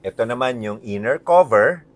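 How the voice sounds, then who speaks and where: A man with a Filipino accent informally presenting a book's illustrations, often pausing mid-sentence to find his words. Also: mid-range voice, animated. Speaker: read-aloud presenter. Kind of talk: informal commentary showing book illustrations.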